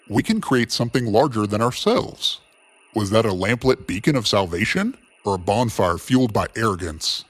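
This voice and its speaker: A man's voice: mid-range voice, voice pushed down. A man playing a wistful, philosophical radio host, musing theatrically through a scripted monologue.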